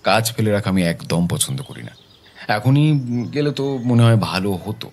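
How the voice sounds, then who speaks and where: An actor playing a serious, determined detective in an audio drama, speaking in a deliberate way. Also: male voice, fairly low pitch, animated, darkish tone. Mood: businesslike. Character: serious, determined male private detective, methodical and businesslike.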